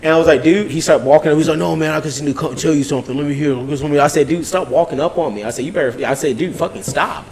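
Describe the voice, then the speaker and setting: A man telling a story in an animated, casual way, swearing freely in slangy speech and acting out both sides of arguments. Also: fairly high pitch, very fast, hardly any pauses. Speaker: podcast host recapping his weekend.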